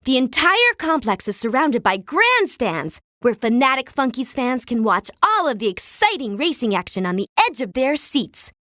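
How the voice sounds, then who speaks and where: A perky cartoon game reporter, high in pitch with big, animated swings. She delivers her report eagerly, in a promotional broadcast manner, with a dry studio sound. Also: moderate pace, hardly any pauses, no echo, heavily acted. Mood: enthusiastic. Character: perky female cartoon chief news correspondent, eager and promotional. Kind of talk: mock on-the-scene news report.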